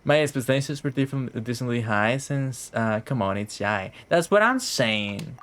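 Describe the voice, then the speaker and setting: A man's voice: mid-range voice, animated, normal speed, a bit bright. A man live-streaming a game, chatting casually with sarcastic, profane, grumbling commentary. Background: video game audio.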